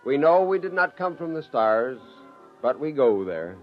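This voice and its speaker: A man's voice: medium pitch, big pitch swings, measured pace, soft background music. An actor playing a wry father and scientist narrating his tale in a 1950s radio drama, on a muffled vintage recording. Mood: solemn reading.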